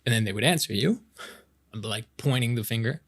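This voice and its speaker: Male actor as boyish best-friend love interest for romantic ASMR roleplay; French Canadian accent, medium pitch, casual, playful, halting delivery. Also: expressive, a bit bright. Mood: playful, teaching. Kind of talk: intimate romantic ASMR roleplay, improvised-sounding casual chat.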